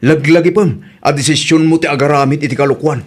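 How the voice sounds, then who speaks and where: An actor with an Ilocano accent playing a forthright, righteous village husband in a radio comedy drama. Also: male voice, a little high, fast, close to an everyday voice. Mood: scolding.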